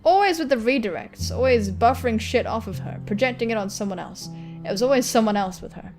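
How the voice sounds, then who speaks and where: Fairly high-voiced woman narrating over music. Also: animated, brisk, darkish tone. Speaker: gaming video host reading a visual novel. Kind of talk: expressive story narration. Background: music, guitar.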